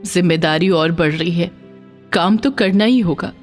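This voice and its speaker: A woman's voice: on the low side, normal speed, soft background music, lightly acted. Woman voicing an emotional poor labourer mother. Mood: resigned. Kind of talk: animated story acting.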